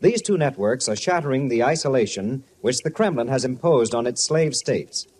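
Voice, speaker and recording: A man's voice: mid-range voice, animated, moderate pace, a little mellow. A man giving a scripted, emphatic, polished announcement in 1950s radio style. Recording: vintage radio broadcast with music underneath. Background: music.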